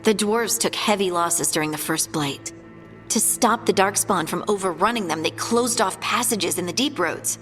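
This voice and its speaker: An actress playing an earnest, curious dwarf scholar in a video game, explaining things thoughtfully. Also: female voice, medium pitch, normal speed, a bit bright, natural voice. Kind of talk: video game character acting. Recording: long hall reverb, faint music.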